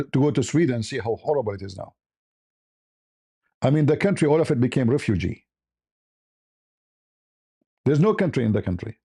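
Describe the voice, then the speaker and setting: Arabic accented guy streaming his opinions, mellow mid voice, blunt, says things twice to hammer it in. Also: medium pitch. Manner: blunt, repeats phrases for emphasis. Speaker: livestream host. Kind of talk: impromptu opinionated monologue.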